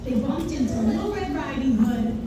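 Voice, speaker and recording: Female storyteller, high voice, live kids' show narration; distant, echoey pickup with a noisy audience. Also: expressive, normal speed, loud music behind the voice. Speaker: narrator of a preschool puppet show. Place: indoor preschool room, live show. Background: audience chatter and room noise.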